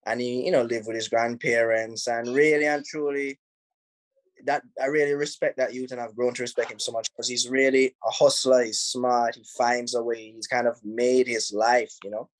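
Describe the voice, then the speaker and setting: Jamaican man, film director on a video call, easygoing and chatty, mid-pitched, dry sound with no room. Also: moderate pace, dry recording, rough recording. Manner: relaxed, rambling, many "you know"s, laughs easily. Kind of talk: casual interview answers. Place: home in Los Angeles.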